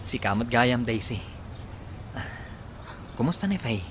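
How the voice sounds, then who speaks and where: An earnest, heartbroken young man in an Ilocano radio drama. His mid-range voice has a Filipino accent, with clear noisy ambience behind it. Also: mid-range voice, expressive, a little slow, a bit bright. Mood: friendly, troubled.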